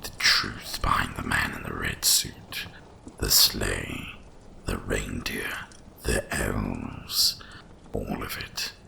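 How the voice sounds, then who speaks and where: A man voicing an ancient, cursed winter dragon in a hushed ASMR fantasy monologue, with a gravelly, breathy rumble and slow, mysterious storytelling. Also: steady tone, full character voice. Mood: conspiratorial, knowing. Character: ancient cursed male winter dragon, wise, once proud, brooding.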